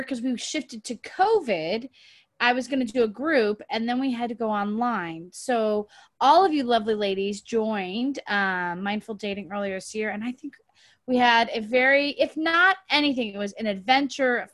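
A woman who is a dating coach, hosting a casual podcast chat in a teasing, animated way. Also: a little high, no room sound. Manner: teasing, animated, laughs often.

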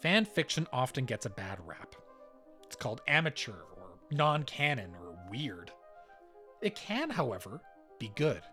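A male podcast host delivers scripted narration with lively, swinging intonation at a medium pitch. Faint music plays underneath. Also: unhurried.

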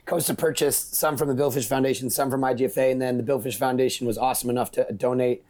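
A man's voice: medium pitch, fairly even intonation, fast talker, darkish tone. A man speaking as a podcast guest, explaining things in a casual, informal and enthusiastic way. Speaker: podcast guest, project member. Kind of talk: casual explanatory interview talk.

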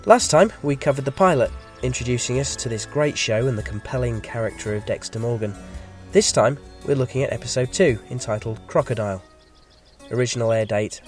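Man, podcast host, slightly croaky from a cold, measured scripted review, mid pitch, faint music behind.

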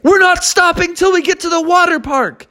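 A man's voice: very high voice, normal speed, sharp tone, voice pushed up. A man voicing a bumbling, scheming father bear who stammers and gloats. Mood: gloating.

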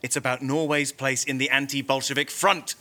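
An actor playing an indignant, fanatical Norwegian fascist prison guard in an audio drama, aggrieved and emphatic. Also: male voice, mid-range voice, little pitch movement, brisk, bright, forceful tone, natural voice. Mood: insistent, zealous.

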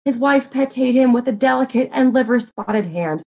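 A woman narrating a comic murder mystery in a deliberate, deadpan dramatic reading. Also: fairly high pitch.

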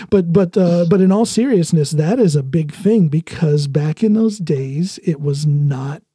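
A man's voice: fairly high pitch, measured pace, warm tone, slightly rough, no echo, clean studio sound. An American man hosting a podcast, talking casually and telling personal stories. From United States. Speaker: podcast host. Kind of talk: casual conversation, personal storytelling.

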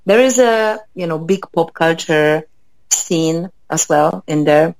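A low-pitched woman with a Polish accent speaks as an interview guest, taking her time and filling gaps with small hesitations. The sound is close and dry, with no room around it. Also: slow, vocal fry, darkish tone, no echo, rough recording. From Poland. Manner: hesitant, many fillers, thoughtful. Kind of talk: conversational interview.

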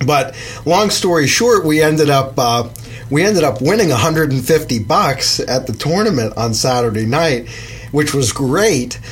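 A man's voice: a little high, lively intonation, a little slow, husky. Stuffed-up man hosting a podcast. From Pennsylvania, USA. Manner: rambling, chatty, with many fillers. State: stuffed up with a summer cold. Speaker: podcast host and magazine editor. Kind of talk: casual podcast chat.

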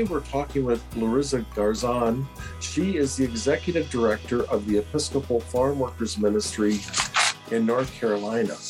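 Male podcast co-host, medium-pitched voice, conversational church-podcast delivery. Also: a little mellow, poor audio.

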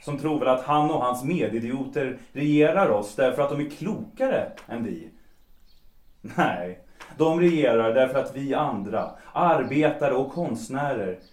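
An actor playing a cynical, self-destructive bohemian composer in a period audio drama, world-weary and mocking, with self-pity underneath. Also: male voice, mid-range voice, animated, lightly acted. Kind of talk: period stage-play audio drama acting in Swedish. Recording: roomy, echoing sound.

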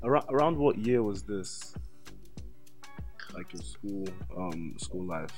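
A man's voice: deep voice, lively intonation, slow pace, a little mellow, soft background music. A man, speaking conversationally as an interview host.

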